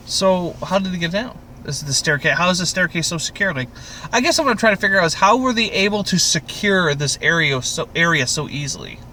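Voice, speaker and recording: A man hosting a casual podcast chat about a TV show, with crude, profane, animated joking. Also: high-pitched, brisk, slight background noise. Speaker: podcast host.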